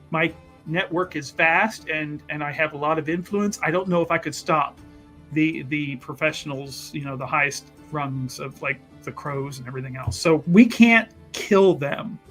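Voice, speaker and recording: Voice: mid-range voice, moderate pace, a bit bright, natural voice. A tabletop player voicing a scheming, influential fixer with hesitant, repetitive, reassuring patter. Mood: persuasive. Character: scheming, persuasive, influential fixer talking an accomplice round. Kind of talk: improvised in-character tabletop roleplay. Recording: music under the voice.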